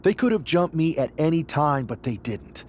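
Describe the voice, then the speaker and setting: A man's voice: fairly high pitch, very animated, moderate pace, a little hiss or hum. A man performing a wary champion wrestler in scripted game dialogue, earnest and skeptical. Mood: doubtful.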